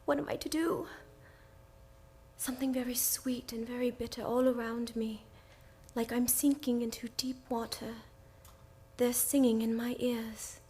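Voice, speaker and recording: An actress playing a frail, frightened, loving young gentlewoman in an old-time radio drama. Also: a little high, fairly even intonation, unhurried, breathy edge. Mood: fearful, dreamy.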